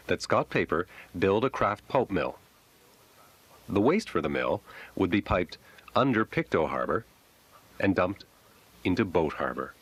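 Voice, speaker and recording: A man reading scripted documentary narration in a deliberate storytelling manner. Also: deep voice.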